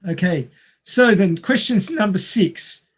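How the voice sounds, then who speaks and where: A man's voice: high voice, expressive, a little slow. A man with an Australian accent hosting a casual quiz among friends, reading out questions and chatting. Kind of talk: casual group quiz chat.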